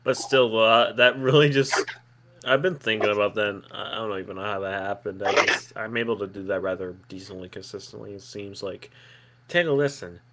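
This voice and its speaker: A man's voice: on the low side, a little fast, noisy background. A man streamer chatting casually, rambling with lots of fillers and frequent giggles. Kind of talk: casual chat.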